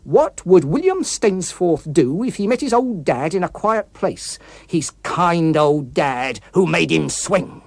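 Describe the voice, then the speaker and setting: A man's voice: fairly high pitch, very animated, takes it slowly, vocal fry, few pauses, dry recording, pitched above the performer's own voice, full character voice. A sinister, vengeful criminal in police uniform played by a man reading an audiobook. His voice is raised and swings sharply as he taunts and accuses. The sound is close and dry. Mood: accusing, menacing. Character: menacing rogue policeman, a vengeful criminal come to life. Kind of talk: dramatic audiobook character.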